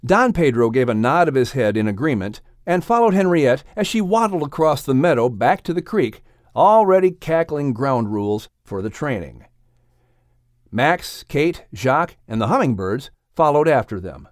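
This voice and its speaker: Male audiobook narrator for children's tale: lively, varied intonation, dry studio-close sound. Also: mid-range voice, moderate pace, dry recording. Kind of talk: scripted children's story narration.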